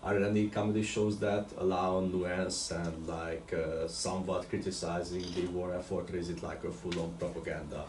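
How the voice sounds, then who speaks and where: Deep-voiced man asking a question from afar. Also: flat delivery, normal speed, hardly any pauses, darkish tone. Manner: casual, fillers like "like" and "uh". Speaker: audience member asking a question. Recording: distant echoey room with faint noise.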